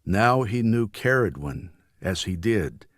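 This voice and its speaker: A man reading a novel as an audiobook narrator, with a measured, solemn and deliberate delivery. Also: lowish voice, lively intonation, no room sound, studio quality.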